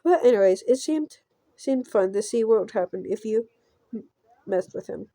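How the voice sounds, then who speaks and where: A woman reading a visual novel aloud as she plays, with playful, halting delivery and casual asides. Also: on the high side, expressive, warm tone, dry recording. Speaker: gameplay streamer.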